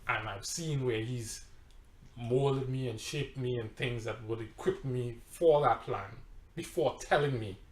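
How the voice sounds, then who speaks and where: A man's voice: medium pitch, measured pace. A pastor with a Trinidadian accent telling a personal testimony in conversation, emphatic and storytelling, sometimes repeating his words. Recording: roomy echo.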